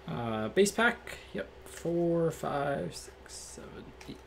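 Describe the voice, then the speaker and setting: A man with a slightly raspy voice hosts a card break, reading off each card in a relaxed, matter-of-fact way and thinking out loud. He is recorded indoors at a table by a fixed camera. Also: fairly high pitch, takes it slowly, a little hiss or hum. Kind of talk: casual card-opening commentary.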